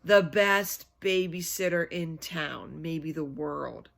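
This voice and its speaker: A woman's voice: sits low, slow, gravelly, a bit bright, exaggerated voice. A woman voicing a cheeky, defiant little girl from a storybook, bold and taunting in manner. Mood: smug.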